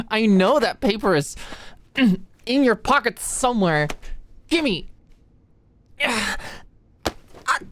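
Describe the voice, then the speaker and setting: Woman acting an anxious, flustered young student, lively pitch. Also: slight background noise, full character voice. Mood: frustrated, playful. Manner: halting, stammering, flustered. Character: anxious, stressed young female medical student, flustered and oblivious. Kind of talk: ASMR audio roleplay.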